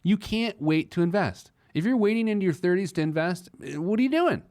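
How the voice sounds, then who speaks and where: A man's voice: high-pitched, lively intonation, fairly quick, a little mellow, dry recording, studio quality. An American man hosting a personal finance show in a conversational, emphatic way, acting out objections and answering them.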